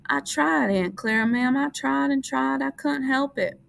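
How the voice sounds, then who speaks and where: A woman's voice: high voice, a little slow, creaky, pitched above the performer's own voice, exaggerated voice. A woman playing a big, simple-minded man who pleads like a child, in a storybook reading. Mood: miserable.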